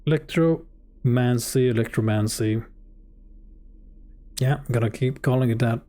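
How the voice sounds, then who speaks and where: A man whose native language is Swedish chats casually while playing a game live. His delivery is slow and warm, and he jokes around relaxedly. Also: medium pitch. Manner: relaxed and joking, with frequent fillers. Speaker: streamer. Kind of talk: casual live gameplay commentary.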